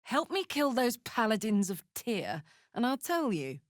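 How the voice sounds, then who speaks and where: A woman playing a big, horned hellspawn warrior in a video game, brash and casual, warm-hearted, playful and hot-tempered. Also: on the high side, big pitch swings, measured pace, no room sound, clean studio sound. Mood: earnest, friendly. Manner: brash, casual, emotionally open. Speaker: video game character voice actor. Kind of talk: expressive video game voice acting.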